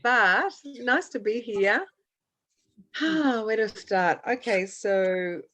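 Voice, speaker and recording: A woman from Australia, hoarse with a cold, introducing herself in a video meeting, hesitant with many fillers. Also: a little high, animated, takes it slowly, a bit bright. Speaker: equine psychotherapy trainer. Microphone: video call. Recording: dull lo-fi video call audio.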